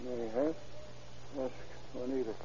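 On a muffled, hissy old radio recording, an actor plays a half-conscious man. His dark voice drifts very slowly through murmured short phrases, in radio drama style. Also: mid-range voice, steady tone, close to an everyday voice. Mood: dazed.

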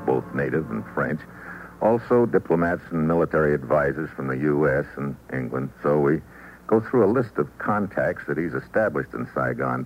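An actor playing a cool, wry investigator who narrates his case in terse, deadpan slang, in an old-time radio noir drama. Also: very deep voice. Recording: muffled, noisy vintage radio recording.

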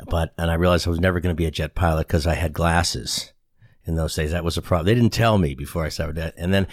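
Deep-voiced man in his sixties. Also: steady tone, quick pace, no echo. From Boston area, USA. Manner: rambling, self-deprecating, fillers and restarts. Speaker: guest, brand specialist and author. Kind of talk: casual conversational interview. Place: studio in San Antonio.

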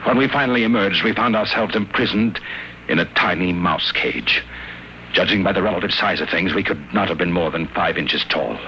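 A man's voice: low voice, fairly quick, slight background noise, low-quality recording. An actor playing a captive man who narrates his ordeal in earnest, tense storytelling, in a 1940s-style radio drama. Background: loud music.

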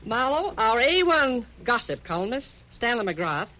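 Bossy, bright-voiced older lady tycoon. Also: a little high, very animated, unhurried, a little hiss or hum, heavily acted, rough recording. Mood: brusque, businesslike. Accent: American. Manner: imperious, curt, commanding. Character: bossy, brusque older female publishing tycoon. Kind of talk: 1940s radio drama acting. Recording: old radio drama recording.

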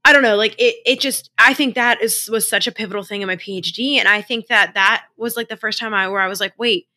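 A young woman co-hosting a podcast who gushes quickly and casually, full of fillers and restarts. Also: mid-range voice, sharp tone. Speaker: podcast co-host. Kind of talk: casual interview chat.

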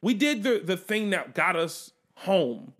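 A man co-hosting a podcast, talking in a casual, animated and profane way as he tells a story. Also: high voice, a little slow. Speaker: podcast co-host. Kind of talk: casual chat.

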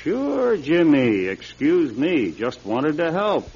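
An actor playing a concerned, persistent law partner in a classic radio drama, asking direct and probing questions. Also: male voice, fairly high pitch, huge pitch swings, slow pace, gravelly, background noise, exaggerated voice. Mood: probing, earnest. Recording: dull vintage radio recording.

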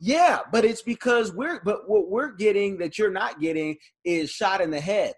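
A man's voice: high voice, lively intonation, moderate pace. A man hosting a casual conversation, telling stories and laughing between remarks. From United States.

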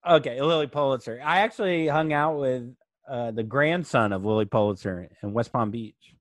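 A man's voice: medium pitch, normal speed, no echo, studio quality. A man chatting casually as a comedy podcast host, loose, rambling and jokey.

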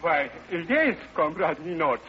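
An actor playing a pompous, anxious male Soviet trade envoy with an excitable manner in a radio comedy. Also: fairly high pitch, unhurried, slight background noise, exaggerated voice. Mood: blustery, flustered. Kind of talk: 1940s radio comedy acting. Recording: muffled vintage radio, studio audience.

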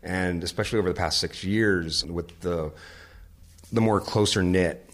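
A young man, a sound engineer, chatting casually as a guest in an interview, relaxed and rambling, laughing easily. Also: low-pitched, unhurried.

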